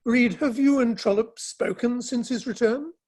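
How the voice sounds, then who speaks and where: A woman playing a mild, sociable, peacemaking Victorian gentleman in a dramatic play reading. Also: on the high side, moderate pace, breathy edge. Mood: mild, placating.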